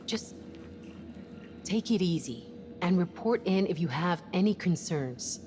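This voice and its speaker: A woman's voice: on the low side, expressive, a little slow, warm tone. A woman playing a cautious, level-headed ship's doctor in a sci-fi game, speaking in a wary, firm, wry way. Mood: calm, concerned. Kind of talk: naturalistic dramatic game cutscene acting.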